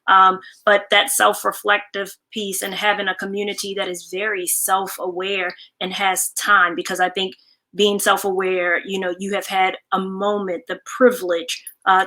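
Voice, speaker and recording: A woman speaking as a panelist in a thoughtful discussion, in a measured, reflective manner. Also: medium pitch, sharp tone.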